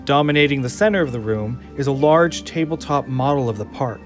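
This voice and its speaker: A man's voice: medium pitch, expressive, brisk. Male audio drama narrator over music. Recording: music under the voice.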